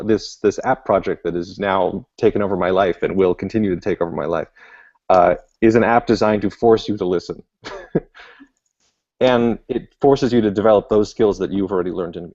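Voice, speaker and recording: Mellow low-voiced man explaining patiently. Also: lo-fi sound. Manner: thoughtful, explanatory, frequent fillers and restarts. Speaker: guest author and language learning expert. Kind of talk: conversational interview answers.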